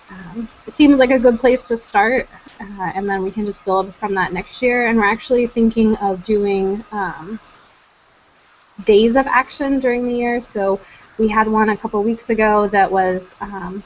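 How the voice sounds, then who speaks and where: Woman, meeting host on a video call, mid-pitched, hesitant fillers, dull lo-fi sound. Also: fairly even intonation, normal speed, a little hiss or hum. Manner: hesitant, many ums and uhs. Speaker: network coordinator, meeting host. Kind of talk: casual online meeting update.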